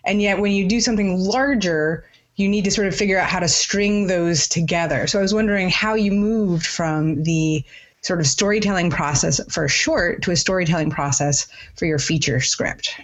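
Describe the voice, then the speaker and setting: A woman co-hosting a podcast, asking questions in a thoughtful, halting, conversational way. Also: on the low side. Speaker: podcast co-host, interviewer.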